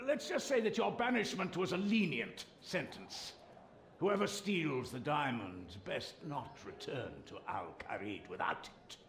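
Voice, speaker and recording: An actor playing a crafty, stern royal spymaster in a video game, speaking formally and deliberately. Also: male voice, on the high side, very animated, fairly bright tone, roomy sound, soft background music, heavily acted. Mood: guarded, warning. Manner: formal, deliberate, measured diction. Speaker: spymaster character.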